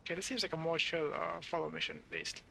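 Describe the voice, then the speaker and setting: A man's voice: fairly high pitch, steady tone, fast, creaky, pushed and bright. A man streaming a game with casual commentary, grumbling and talking to himself as he plays. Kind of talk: casual live gameplay commentary. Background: game music.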